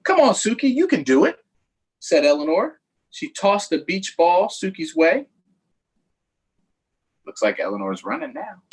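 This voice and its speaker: A man's voice: high-pitched, wildly swooping pitch, measured pace, pauses often, husky. A man hosting a children's story time, reading aloud in a playful, sing-song way with chatty asides to viewers.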